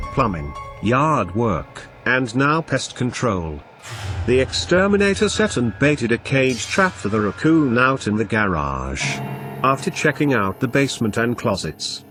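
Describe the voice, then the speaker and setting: A man reading a scripted first-person horror story as an expressive narrator. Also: mid-range voice. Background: background music.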